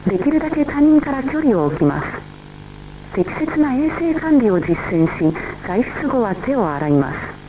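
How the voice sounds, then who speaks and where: A woman's voice: fairly high pitch, big pitch swings, fast, long pauses. A woman playing a formal emergency alert announcer, reading out scripted instructions. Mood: grave, formal. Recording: noisy radio-style emergency broadcast.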